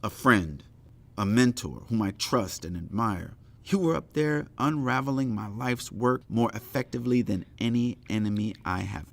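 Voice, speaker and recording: An actor playing an indignant intellectual leader in a formal audio play debate, speaking in eloquent, accusatory oratory. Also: male voice, fairly low pitch, animated, normal speed, gravelly. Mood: wounded, reproachful.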